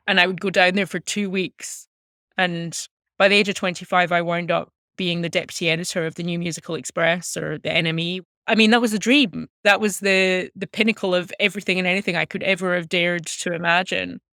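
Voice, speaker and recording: Female interview guest, thirties, Scottish accent, earnest and articulate storyteller, crisp tone, close dry sound. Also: lowish voice, a bit bright, dry recording. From Glasgow, Scotland. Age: 30s. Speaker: interview guest, music journalist. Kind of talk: reflective personal storytelling in an interview.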